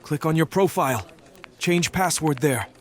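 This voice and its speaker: A man playing a polite, formal male stalker in an audio drama, speaking in a measured way. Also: a little high, animated, no room sound. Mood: polite, instructive. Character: male stalker posing as polite tech support worker, narrating calmly. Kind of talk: scripted drama narration and dialogue.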